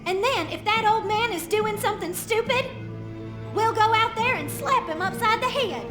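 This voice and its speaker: Game role: feisty, loyal young girl, very high perky voice, music behind. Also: normal speed. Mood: feisty, determined. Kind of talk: video game cutscene voice acting.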